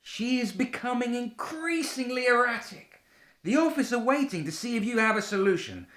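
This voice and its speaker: A woman's voice: a little high, lively intonation, normal speed, fairly bright tone. A woman playing an impatient, exasperated official in a radio play, with a challenging, businesslike manner.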